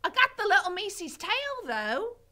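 A woman voicing a dim-witted young servant girl who drawls her words slowly and simply. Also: big pitch swings, bright, forceful tone, exaggerated voice. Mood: drawling. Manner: drawling, slow and simple, high and shrill.

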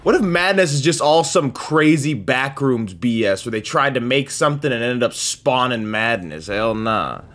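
A man's voice: mid-range voice, moderate pace, few pauses, a bit bright, slight background noise. A young American man giving casual, slangy reaction commentary on a trailer, swearing freely. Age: young adult. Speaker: reaction video host. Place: small indoor room at home. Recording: trailer soundtrack playing behind voice. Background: music from the trailer.

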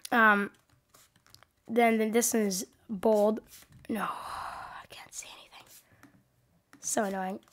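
A woman's voice: medium pitch, fairly even intonation, takes it slowly, pauses often, fairly bright tone. Young woman, sniffly with a cold, hosting a loose desk-mic coding tutorial, rambling and self-correcting. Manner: rambling, hesitant, many ums and self-corrections. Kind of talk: casual screen-recorded tutorial.

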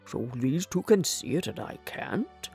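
A woman voicing a vain, anxious, pompous old male emperor in a storybook, with a husky, theatrical delivery. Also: low-pitched, sing-song, unhurried, a little mellow, soft background music, exaggerated voice. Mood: worried.

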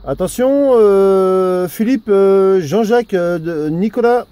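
A man making halting, formulaic calls as a radio operator, repeating himself in a procedural style. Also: high voice, mellow, smooth, no echo. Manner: repetitive, halting, formulaic announcing. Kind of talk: CB radio calling, formal procedural hailing.

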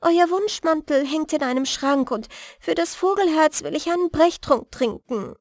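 A woman's voice: high-pitched, animated, moderate pace, darkish tone, no echo, clean studio sound, pitched above the performer's own voice. A woman voicing a remorseful, lovestruck young maiden in a storybook, pleading tenderly.

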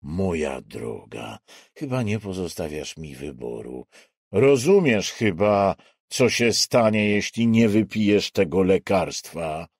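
Man voicing a slow, menacing, coaxing killer. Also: sits low, big pitch swings, slightly rough, no echo, clean studio sound, heavily acted. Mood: cold threat. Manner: slow, stern, softly coaxing. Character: menacing male killer, calm, coaxing, coldly patronizing.

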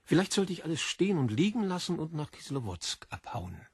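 Agitated literary editor, audio drama, very husky, self-important, exclamatory, dry close sound. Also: male voice, on the high side, sing-song, fairly quick, no echo, heavily acted. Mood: anxious.

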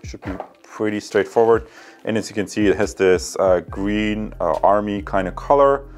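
A man in his thirties with a German accent talks casually to viewers as a product reviewer. His voice sits fairly low and his delivery is halting and conversational. Music plays behind him. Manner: hesitant, halting, addresses viewers as guys. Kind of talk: casual unboxing and review talk to camera.

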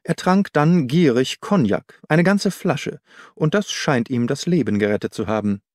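A man reading a literary audiobook as narrator, measured and deliberate. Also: mid-range voice, animated, no room sound, clean studio sound.

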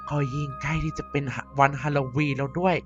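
A woman's voice: low voice, fairly even intonation, quick pace, lightly acted. A woman voicing a vain, mischievous female vampire queen in a Minecraft cartoon, talking in a theatrical, self-important way over faint music. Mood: scheming. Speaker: narrating YouTuber doing all voices. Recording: slightly dull with faint music.

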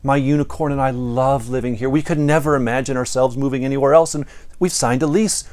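A man's voice: medium pitch, steady tone, brisk, darkish tone, natural voice. Man as a polite but firm fairy. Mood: polite refusal. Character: polite, firm, contented female fairy tenant. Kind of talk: fairy tale storytelling.

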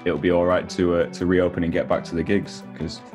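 A young man with a low voice asks questions in a relaxed, chatty interview style. He is on a video call from home, and music plays beneath his voice. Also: fairly quick. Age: young adult. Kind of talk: casual interview chat.

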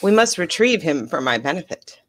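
Woman playing blunt, haughty traveler, lively intonation. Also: fairly low pitch, normal speed. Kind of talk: comedic live script table read.

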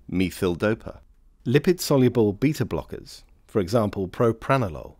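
A man narrates a training film from a script in a fairly low voice. His intonation moves around a good deal while the delivery stays formal and measured. The sound is dry and close, with no room echo. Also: darkish tone.

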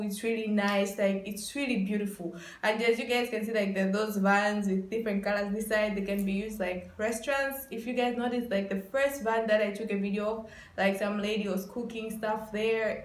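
Female vlogger, twenties, chatty and casual, mid-pitched, roomy indoor camera sound. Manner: chatty, repetitive emphasis with frequent "like". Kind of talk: casual vlog narration. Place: small indoor room with bare walls. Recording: roomy echo.

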